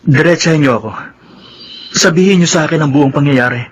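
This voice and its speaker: An actor playing a worried, insistent young son in a Filipino radio drama, urgent but respectful. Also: male voice, a little high, brisk, close to an everyday voice. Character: worried young son demanding answers about his mother.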